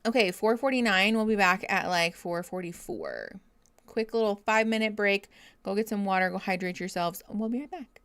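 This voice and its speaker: A woman's voice: medium pitch, moderate pace, a bit bright, smooth. A woman talking her way through a reality show live, chatty and opinionated. Her voice sits in a middle range, and she fills her talk with "like". It sounds like a home stream. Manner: chatty, opinionated, with many likes. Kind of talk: casual live reaction chat. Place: home room, at a standing desk.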